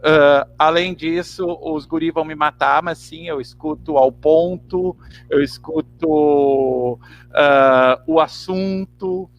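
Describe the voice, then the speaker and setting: A man's voice: fairly high pitch, takes it slowly, dull audio. A man with a southern Brazilian accent chatting casually as a podcast guest, meandering and often checking for agreement. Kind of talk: casual interview chat. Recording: faint background noise and hum.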